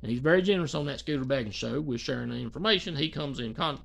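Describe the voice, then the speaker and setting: A man's voice: mid-range voice, lively intonation, fast talker, gravelly, a bit bright. A man in his thirties rambling in casual, colloquial commentary, full of restarts and asides, filmed close up in a small dim room. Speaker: commentary vlogger. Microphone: phone or webcam close to face.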